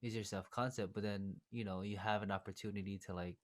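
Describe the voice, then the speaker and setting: A man's voice: lowish voice, fairly even intonation, fast. Easygoing man hosting a casual chat. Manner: easygoing, thinks aloud with fillers. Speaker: podcast host.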